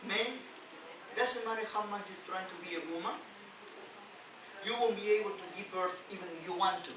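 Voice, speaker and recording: A man who is a non-native English speaker, a rabbi giving an emphatic sermon in halting phrases with repeats and rhetorical questions. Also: sits high. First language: not English. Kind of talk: sermon on scripture. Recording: distant, very echoey, noisy room recording.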